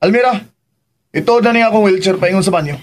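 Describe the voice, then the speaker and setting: Guy acting as bossy spoiled young man, radio drama, highish voice, noisy audio. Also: quick pace, natural voice. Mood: defiant. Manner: insistent, bossy. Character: willful, demanding young man in a wheelchair, Dennis.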